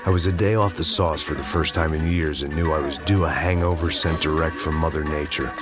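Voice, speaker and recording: An actor playing a weary, hard-drinking ex-cop with a dry, sardonic manner, in a game cutscene. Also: male voice, rumbling low, steady tone, a little fast. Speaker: narrator and lead character. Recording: game cutscene with loud music score.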